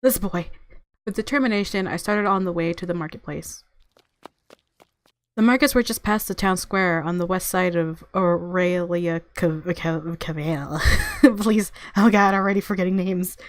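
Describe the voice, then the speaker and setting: A woman's voice: on the low side, moderate pace, no room sound. A woman hosting a gaming video, reading visual novel narration aloud expressively and reacting casually. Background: game music.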